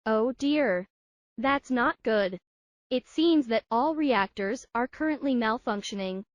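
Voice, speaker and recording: A woman's voice: on the high side, animated, slow, no echo, dull audio. A woman playing an alarmed nuclear plant worker taking charge in an amateur audio drama. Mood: dismayed, urgent.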